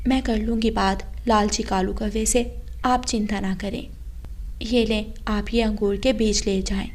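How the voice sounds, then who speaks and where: Cartoon best friend: helpful female sparrow, voiced by a woman, mid-pitched, calm, reassuring. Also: measured pace, natural voice. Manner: calm, advising.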